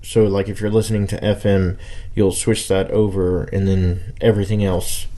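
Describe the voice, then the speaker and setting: A man's voice: low voice, flat delivery, moderate pace, dark tone. A man giving a casual, unscripted gadget demo, hesitant and thinking aloud as he goes. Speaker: hobbyist radio reviewer.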